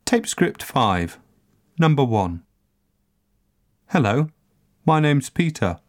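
A man narrating a scripted language course recording, enunciating each word carefully and deliberately. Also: medium pitch, sing-song. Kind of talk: scripted language-lesson reading.

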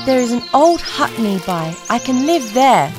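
A woman's voice: fairly high pitch, sing-song, unhurried, pitched above the performer's own voice. A woman voicing a sobbing, forlorn little duckling. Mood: sad. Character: sad lonely young male duckling. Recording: music playing behind.

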